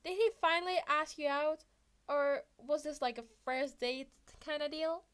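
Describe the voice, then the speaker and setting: A woman voicing an excitable, anxious, chattering teenage boy hero student in a fan fiction reading. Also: sits high, measured pace, voice pushed up. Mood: cheerful, teasing. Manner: breathless, exclaiming, rambling.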